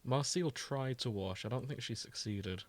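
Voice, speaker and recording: A man with an average-pitched voice co-hosts a casual fan podcast. He speaks in a loose, conversational, jokey way. The sound is dry, with hardly any room on it. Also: moderate pace, no echo. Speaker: podcast co-host. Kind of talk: casual banter about fandom.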